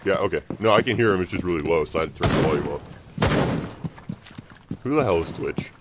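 Low-pitched male gamer, sweary casual callouts, noisy voice chat with clicks. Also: lively intonation, fast. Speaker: video game player on team voice chat.

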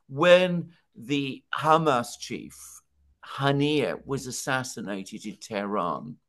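A man in his sixties speaks as a political commentator in a conversational way. He explains things emphatically and sets up his points with rhetorical questions, at a middle pitch. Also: fairly bright tone. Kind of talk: conversational news analysis. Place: home study with bookshelves. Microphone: headset on video call.

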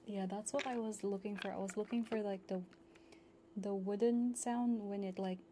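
A woman streaming ASMR, chatting playfully in a soft, often whispered murmur close to a binaural microphone. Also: medium pitch, steady tone, normal speed, noisy background. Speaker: ASMR streamer, VTuber host. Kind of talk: ASMR livestream, casual playful chat. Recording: loud crinkling, clicking ASMR prop noises. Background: clicks, crinkling and tapping of props.

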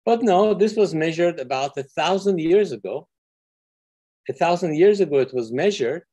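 A man's voice: on the high side, expressive, moderate pace, mellow, slightly rough. A middle-aged man from Iran giving a friendly, explanatory online physics lecture over a video call from his office, with frequent fillers. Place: office with windows.

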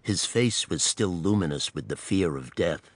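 Male narrator for pulp crime audiobook; lower register, dramatic measured delivery, very dry recording. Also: animated, no room sound. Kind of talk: scripted pulp thriller narration.

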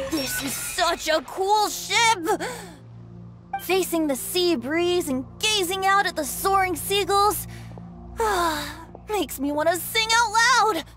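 A woman's voice: very high-pitched, slow, music in the background. A woman voicing a carefree, playful young male bard in a game, with a breezy, wheedling way of talking.

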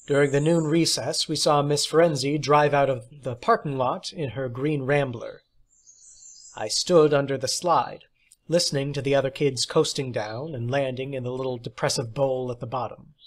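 A man's voice: mid-range voice, normal speed. A man reading a short story aloud as scripted audiobook narration. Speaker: audiobook reader.

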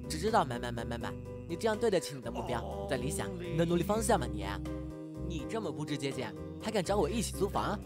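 A man's voice: a little high, very animated, fast. A man playing a nagging, thrifty, domestic young man in an audio drama. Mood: scolding. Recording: loud background music.

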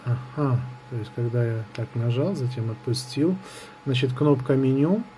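A man's voice: medium pitch, moderate pace, dark tone. A man giving a casual hands-on product review, improvising hesitantly and thinking aloud as he goes. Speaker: gadget reviewer. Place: indoors at a table in an apartment. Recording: clear background noise on home recording.

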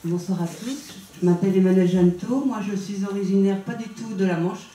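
A woman's voice: medium pitch, normal speed, dark tone, far from the mic, slight background noise. A woman in her forties speaking at a public meeting, introducing herself in a chatty way with frequent hesitations. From Vendée, France. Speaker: substitute candidate at a public meeting. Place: small meeting room, indoors. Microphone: handheld mic, filmed by a far camera. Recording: echoey room heard from afar.

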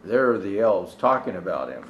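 A man's voice: deep voice, sing-song, normal speed, muffled recording, a little hiss or hum. An older man reading a children's storybook aloud in a folksy way, speaking directly to young listeners as a Santa storyteller. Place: small indoor decorated studio set.

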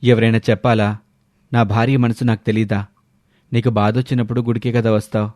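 A man's voice: fairly low pitch, level tone, a little fast, a little mellow, dry recording, studio quality, close to an everyday voice. A man voicing a devoted, distressed young husband who speaks earnestly, in a narrated story. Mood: tender.

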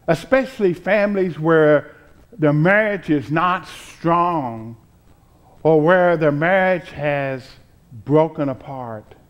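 An American man in his sixties preaching a sermon as a pastor, deliberately, in short phrases with frequent pauses. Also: a little high, muffled recording. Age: 60s. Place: church sanctuary, at a pulpit before a choir.

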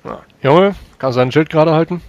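A man's voice: a little high, brisk, darkish tone, no room sound, lo-fi sound, voice pushed up, lightly acted. Guy doing a bossy boastful knight for a tabletop game, punchy and emphatic. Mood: stern, challenging. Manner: commanding, emphatic. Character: brisk, boastful male knight testing young pages.

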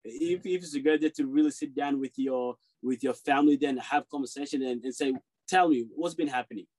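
A man talks fast on a home video call, co-hosting a relaxed podcast chat. He presses his argument and keeps checking that the others follow. His voice sits at an ordinary middle pitch. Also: low-quality recording. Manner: fast, insistent, arguing his point with repeated "you know what I'm saying". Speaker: podcast co-host. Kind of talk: casual group discussion.

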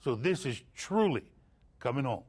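A man's voice: medium pitch, very animated, moderate pace, a little mellow, slightly rough. A middle-aged man reading scripted sports news as a television anchor in a studio.

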